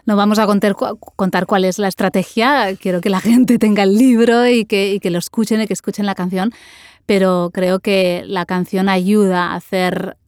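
A woman's voice: mid-range voice, fairly even intonation, normal speed, darkish tone, smooth. A woman hosting a podcast interview, engaged and asking follow-up questions.

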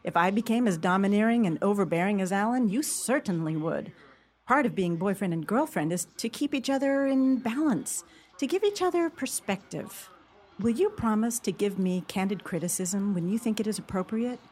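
A woman's voice: mid-range voice, expressive, normal speed, darkish tone, close to an everyday voice. An actress playing an emotional, earnest woman talking with a close friend in a radio play. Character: woman friend, emotional, sports-loving, turning sweetheart.

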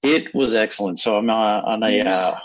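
A man's voice: lowish voice, normal speed. A middle-aged American man from Long Island, chatting casually in a wry, wordy manner. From Long Island, United States. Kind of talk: casual chat.

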